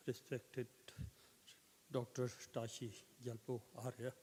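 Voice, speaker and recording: A male professor giving a formal conference address, speaking deliberately and greeting the dignitaries before his talk. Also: medium pitch, a little mellow, a little hiss or hum. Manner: slow, deliberate, opens with chanted salutation. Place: large conference hall. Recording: long hall reverb.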